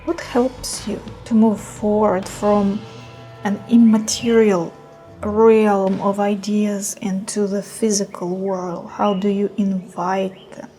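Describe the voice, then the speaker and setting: A woman leading a guided meditation in a soothing, unhurried manner with gentle pauses. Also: medium pitch, level tone, soft background music.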